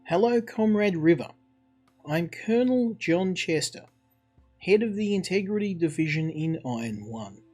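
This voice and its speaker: A courteous police colonel read out by a man speaks formally in a visual novel. His voice sits a little high, and his polite words carry some concern. Light music plays underneath. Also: animated, unhurried, a little mellow. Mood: formal, cordial.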